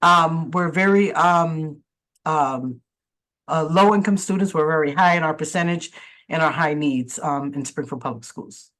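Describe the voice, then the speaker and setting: A woman who is a school district administrator, answering questions in a webinar panel and pausing often with fillers and restarts. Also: low-pitched, a bit bright. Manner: halting, many fillers and restarts.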